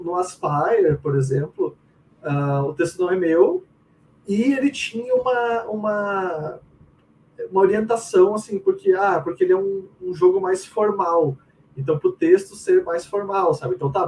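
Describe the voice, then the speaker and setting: Brazilian man, high voice, swooping intonation, casual slangy storytelling, interview guest, distant mic. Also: darkish tone. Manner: informal, slangy, often quoting own thoughts. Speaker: game writer, interviewee. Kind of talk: casual chat, animated anecdotes. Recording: distant mic with faint room noise.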